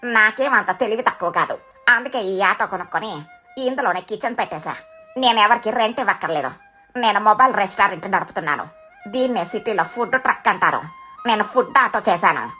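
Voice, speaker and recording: Cartoon mother crow food seller, female performer: mid-pitched, sharp, boastful, brash and theatrical. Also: animated, brisk, faint music behind. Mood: proud. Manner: dramatic, brash, scolding.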